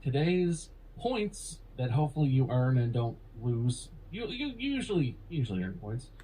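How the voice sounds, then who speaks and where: A man's voice: medium pitch, sing-song, moderate pace, dark tone, husky, rough recording. A man hosting a comedy podcast, playful and sarcastic, rambling through his intro. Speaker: podcast host and judge.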